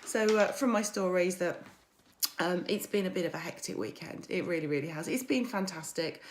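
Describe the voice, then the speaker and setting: A woman mindset coach with a croaky voice, hosting a chatty weekly livestream and greeting viewers by name. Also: on the low side, normal speed. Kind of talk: weekly social media livestream.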